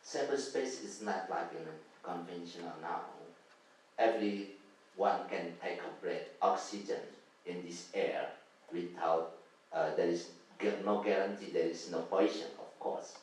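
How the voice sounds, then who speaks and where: A man's voice: low voice, measured pace, a little mellow. A man answering at a conference session, explaining earnestly and searching for words. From Indonesia. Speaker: academic panelist. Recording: echoey conference hall, distant mic, faint noise.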